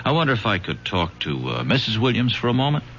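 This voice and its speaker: An actor playing a shrewd, persistent police detective who probes with halting, folksy questions in a radio drama. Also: male voice, fairly low pitch, sing-song, fairly bright tone, slight background noise. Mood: wheedling. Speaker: detective sergeant. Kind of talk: 1970s radio drama acting. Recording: dull lo-fi old radio broadcast.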